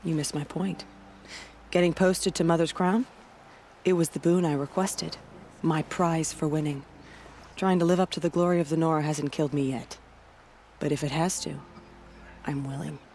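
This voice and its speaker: An actress playing a proud female warrior who guards a border village, speaking in a solemn, steady and devout way. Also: low voice, moderate pace, slight background noise.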